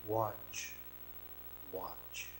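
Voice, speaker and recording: A man's voice: drawn-out words, slight background noise, poor audio. A man playing a solemn dead friend with a bearded patriarch's dry, matter-of-fact, grave voice in a radio play. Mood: stern, hushed. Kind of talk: stage radio drama acting. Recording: loud music over the voice.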